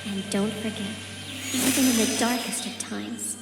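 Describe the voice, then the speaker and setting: A wise, serene woman gives measured, solemn counsel as a game guide. Her fairly high voice echoes over loud music. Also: fairly even intonation, gravelly. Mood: consoling. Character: serene, cryptic female guide, wise and consoling. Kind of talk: video game character acting. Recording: echoing reverb, loud music behind.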